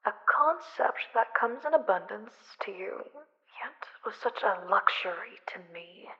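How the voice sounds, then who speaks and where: A woman playing a theatrical, obsessive female villain who taunts in a drawn-out, dramatic way in an audio roleplay. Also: mid-range voice, animated, pushed and bright, highs cut off. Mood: gloating, taunting.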